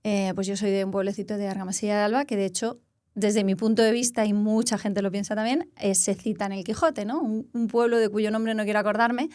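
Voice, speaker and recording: Psychologist guest, 40s, Castilla-La Mancha Spanish, calm reflective interview voice, dry studio sound. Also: female voice, medium pitch, brisk, no room sound. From Spain. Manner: reflective, explains with examples and rephrases. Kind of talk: conversational interview.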